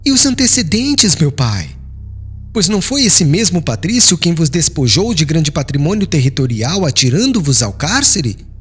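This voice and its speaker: Man acting an earnest, pleading young Hebrew son, animated. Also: on the high side, normal speed, husky, soft background music, voice pushed up, heavily acted. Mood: alarmed pleading. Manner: earnest, humble, imploring. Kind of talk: dramatized audiobook reading.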